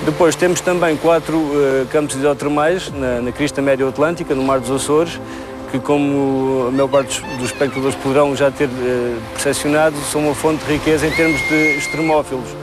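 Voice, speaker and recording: A man with a European Portuguese accent giving halting, technical explanations as an expert in an interview. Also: mid-range voice, fairly even intonation, music in the background. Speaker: marine survey expert being interviewed.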